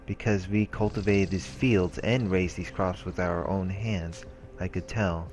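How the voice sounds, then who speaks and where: A man's voice: deep voice, steady tone, measured pace, a little mellow. A man reading visual novel text aloud as a let's play host, in a casual way with offhand asides.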